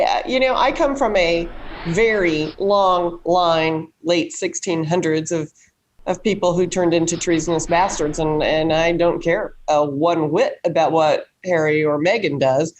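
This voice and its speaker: An American woman giving opinions in a casual panel chat, with a blunt, salty and folksy way of talking. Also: on the low side.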